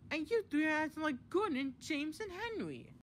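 A man as a high, scolding female railcar. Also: lively intonation, moderate pace, fairly bright tone, a little hiss or hum. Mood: reproving. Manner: reproving, emphatic. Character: stern female railcar scolding her companions.

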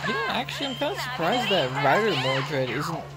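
A man's voice: high-pitched, huge pitch swings, fairly quick. A man giving casual, offhand game commentary as he streams. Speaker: streamer reading game dialogue aloud. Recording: game music behind the voice.

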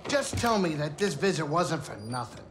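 A man voicing a grumpy, excitable little alien starship pilot in a cinematic video game, gruff and wisecracking. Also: fairly high pitch, measured pace, background noise. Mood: cocky. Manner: blustering, wisecracking.

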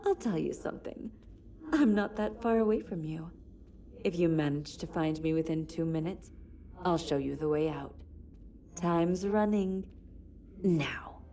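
A sinister, mocking younger sister in a horror game. Her voice is lowish and dark, teasing and contemptuous, with faint noise behind it. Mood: taunting. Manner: mocking, sneering, drawn-out teasing. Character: cruel, taunting younger sister, a sinister apparition.

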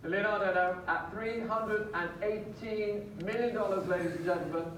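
A man auctioneer calling bids live in a sale room, brisk and repetitive, with light jokes between bids. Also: high-pitched, a bit bright, a little hiss or hum. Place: large auction sale room, heard from the audience. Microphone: far phone camera in the crowd. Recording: distant echoey sale room from a phone in the crowd.

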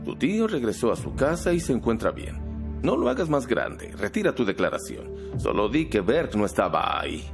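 Un actor de doblaje que interpreta a un empresario poderoso de mediana edad, calculador y coercitivo, que habla con cortesía medida y presiona. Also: male voice, sits low, expressive, a little slow, vocal fry, long pauses, over music, exaggerated voice. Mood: pressuring. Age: middle-aged. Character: middle-aged powerful businessman, smooth-talking, calculating and coercive. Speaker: dubbing actor in a TV drama. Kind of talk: dubbed television drama dialogue.

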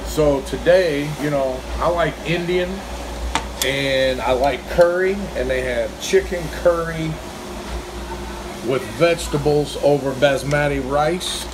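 A man's voice: on the high side, slow pace, background noise. Folksy man in a diner. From Kentucky, US. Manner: chatty, folksy, talks while eating. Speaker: food reviewer. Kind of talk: casual food review vlog. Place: small diner, indoors. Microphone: phone camera across the table.

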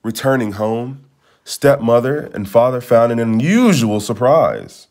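A man narrates a storybook in a read-aloud style. His voice sits at a medium pitch, and his phrasing is expressive and dramatic. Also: a little slow.

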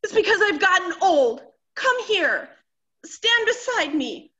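High-pitched, fluttery vain wife, acted by a woman. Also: big pitch swings, takes it slowly, sharp tone, exaggerated voice. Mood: distraught, wailing. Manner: melodramatic, fluttery, exclaiming. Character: vain, anxious middle-aged wife fretting about ageing. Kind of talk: theatrical stage comedy acting.

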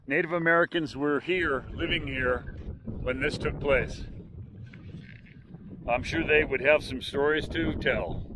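An older man narrating a hike outdoors on a windy volcanic ridge, calmly explaining the landmarks around him. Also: on the high side. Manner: unhurried, explanatory, pointing out landmarks. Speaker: hiking vlogger. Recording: faint wind noise.

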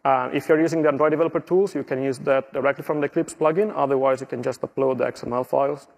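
A middle-aged man from Italy with an Italian accent, giving a steady tech conference walkthrough with small slips and fillers. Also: medium pitch, quick pace, creaky. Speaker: conference presenter. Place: conference stage.

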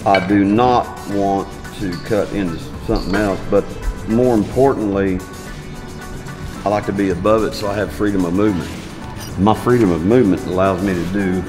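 A man's voice: low voice, moderate pace. A man in his fifties teaching welding in a large metal workshop, talking in a folksy, plainspoken way as he works and thinks aloud. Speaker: welding instructor. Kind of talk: hands-on shop demonstration. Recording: music bed under the voice.

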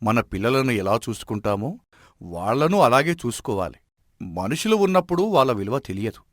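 A man playing a wise, respected village elder in an animated moral story, giving advice in a measured, admonishing way. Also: mid-range voice, no echo, clean studio sound, close to an everyday voice. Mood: calm advising.

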